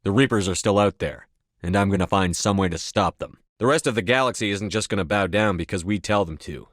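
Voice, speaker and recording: An actor playing a resolute, no-nonsense military commander in a science fiction video game, with firm, clipped, commanding delivery. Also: male voice, low-pitched, brisk, a bit bright, no echo, studio quality. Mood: firm, determined. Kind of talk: video game voice acting, scripted dialogue lines.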